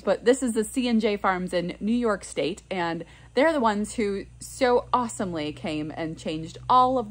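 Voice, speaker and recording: A woman in her thirties films herself on a phone at arm's length inside a greenhouse. She talks in a chatty, upbeat way and explains the farm work step by step. Her voice sits at an everyday middle pitch. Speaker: flower farmer and vlogger. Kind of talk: casual vlog narration to camera. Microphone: phone held at arm's length.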